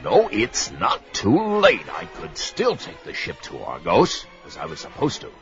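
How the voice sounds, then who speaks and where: An actor playing an uneasy, conscience-stricken ship's captain in a pulp fantasy audio drama. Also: male voice, lowish voice, huge pitch swings, measured pace, full character voice. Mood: troubled. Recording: dull lo-fi with music behind.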